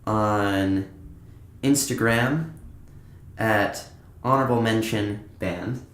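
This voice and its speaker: A man's voice: on the low side, takes it slowly, a bit bright. A young man casually telling anecdotes as a podcast guest, in an informal, rambling way. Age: young adult. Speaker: podcast guest, band musician. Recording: echoey, roomy podcast recording.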